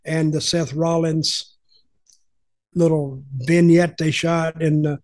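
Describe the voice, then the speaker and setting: A man's voice: on the high side, flat delivery, slow, a little mellow. Older man, rambling storyteller. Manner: rambling, folksy, repeats words. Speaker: podcast host, storyteller. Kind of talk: casual storytelling chat. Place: home room with lamp. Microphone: headphones, webcam video call.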